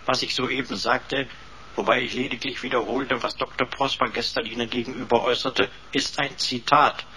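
Pompous, bright-voiced robotic butler sidekick acted by a man. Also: mid-range voice, fairly even intonation, moderate pace, a little hiss or hum, close to an everyday voice. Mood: smug lecturing. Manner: pompous, ornate, over-formal. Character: pedantic male computer butler, servile yet snootily mocking. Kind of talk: radio play dialogue.

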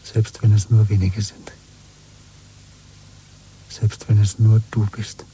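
An actor playing a weary, bitter, quietly defiant dissident in a radio drama, husky and intimate, speaking slowly and broodingly. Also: male voice, lowish voice, little pitch movement, heavily acted, poor audio. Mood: intense, hushed resolve. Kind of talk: 1970s German radio play acting.

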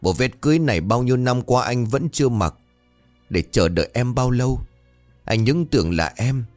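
A man's voice: mid-range voice, lively intonation, moderate pace, darkish tone, dry recording, soft background music, voice pushed up, lightly acted. A man voicing a half-mad, drunk young man rambling lovesick to his dead bride. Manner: rambling, slurred pleading.

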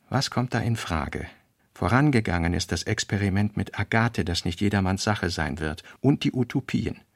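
Male narrator, scripted literary reading, gravelly, dry close sound. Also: on the low side, no room sound.

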